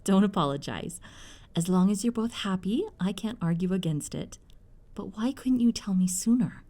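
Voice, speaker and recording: Lady voicing fussy protective mom in an audio roleplay, middle-aged, mellow voice, very up and down, dramatic. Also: medium pitch, lively intonation, normal speed, close to an everyday voice. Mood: tender. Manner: effusive, fussing, dramatic. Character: doting, fussing, fiercely protective middle-aged mother.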